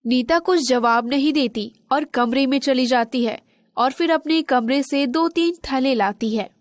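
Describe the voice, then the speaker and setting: A woman's voice: high-pitched, unhurried, no echo, clean studio sound. A woman reading scripted narration for a children's moral story.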